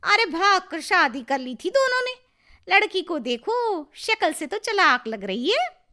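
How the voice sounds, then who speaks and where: A woman's voice: very high voice, sing-song, unhurried, bright, forceful tone, voice pushed up, exaggerated voice. A woman voicing a gossipy, sneering middle-aged aunt in a cartoon story, catty and exaggerated. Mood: catty, shocked. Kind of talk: animated story acting.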